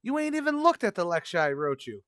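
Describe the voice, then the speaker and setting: A brash tavern manager from an old radio comedy, played by a man, wisecracking in cocky, streetwise, ungrammatical talk. The voice sits high and swings widely in pitch, in a dry, close recording. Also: very animated, fast, a bit bright, no room sound. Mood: pushy, cocky. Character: brash, fast-talking, malapropping male tavern manager.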